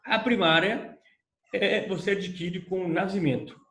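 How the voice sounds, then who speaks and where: A man's voice: high-pitched, measured pace, pushed and bright. A man with a Brazilian Portuguese accent giving a recorded law lecture, explaining step by step and checking in with his students. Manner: explanatory, repeats points with "tá?" check-ins. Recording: echoey room.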